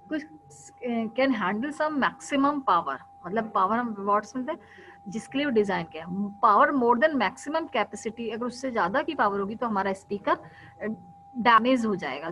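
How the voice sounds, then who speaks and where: A woman lecturer explains technical topics, posing questions and answering them herself and often checking in with students. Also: fairly high pitch. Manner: explanatory, asks and answers her own questions, frequent "ठीक है" checks. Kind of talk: classroom lecture mixing Hindi and English. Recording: dull lo-fi sound with faint hum.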